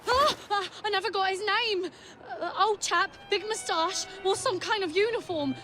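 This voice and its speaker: An actor playing a cowardly Victorian street thug with a London accent, panicky and pleading. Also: male voice, extremely high pitch, moderate pace, sharp tone. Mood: terrified, pleading. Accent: London English. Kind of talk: video game cutscene acting.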